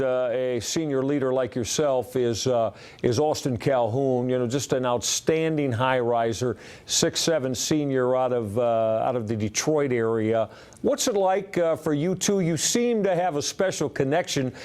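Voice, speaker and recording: Male American sports host, mid-pitched, wordy and conversational, circling into long halting questions. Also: darkish tone, smooth. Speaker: sports show host interviewing a guest.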